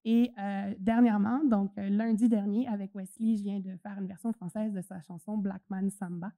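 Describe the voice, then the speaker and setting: A woman's voice: medium pitch, steady tone, normal speed, dark tone, no room sound, studio quality. A woman giving a conference presentation, chatty and full of hesitations. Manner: chatty, hesitant with many fillers. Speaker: conference speaker. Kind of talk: presentation talk.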